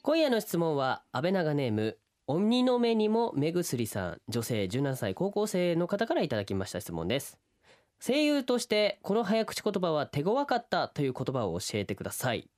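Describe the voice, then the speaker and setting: A man's voice: on the high side, huge pitch swings, normal speed, a little mellow. A man who works as a voice actor, chatting casually as a radio co-host. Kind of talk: casual banter.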